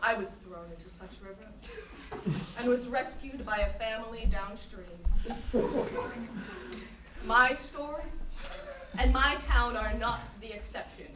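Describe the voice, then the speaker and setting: A woman acting an intense, exasperated Chinese intellectual in a staged play reading, lecturing emphatically and with condescension. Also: fairly high pitch. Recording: roomy distant stage recording, faint noise.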